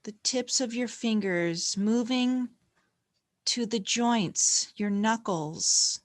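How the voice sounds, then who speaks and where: A woman leading a guided meditation in a gentle, calm, unhurried manner with long pauses between phrases. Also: a little high, no echo, studio quality.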